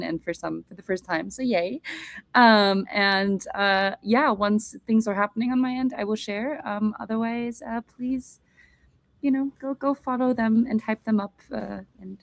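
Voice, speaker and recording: A woman's voice: medium pitch, gravelly, no echo. A woman player signs off an actual play game, effusively grateful and hesitant, with many "um"s. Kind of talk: casual show sign-off.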